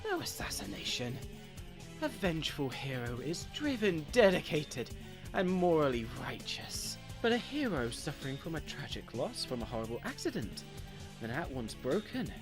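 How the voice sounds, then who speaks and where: A man's voice: a little high, very animated, music in the background. Man voicing a pompous villainous doctor, swooping theatrical delivery. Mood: controlling, sly. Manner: theatrical, formal, deliberate diction. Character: pompous, scheming villain doctor, a cold analytical schemer. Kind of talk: comic dub villain monologue.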